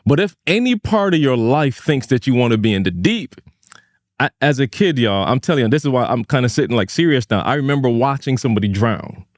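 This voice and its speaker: A man's voice: mid-range voice, lively intonation, fairly quick, dry recording, studio quality. A man from Barbados telling stories casually and reflectively, earnest and emphatic, with slangy phrasing. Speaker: podcast co-host.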